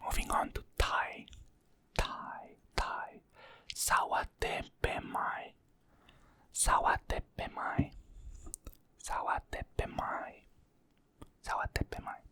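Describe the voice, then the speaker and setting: Slow soft ASMR whisperer from Portugal. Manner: soft whispering, slowly repeating each phrase. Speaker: ASMR creator.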